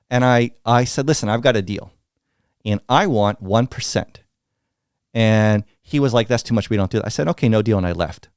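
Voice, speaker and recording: A middle-aged American man telling candid business stories as an interview guest, blunt and animated, acting out what others said. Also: lowish voice, no echo, clean studio sound. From United States. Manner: blunt, animated, acts out quoted dialogue.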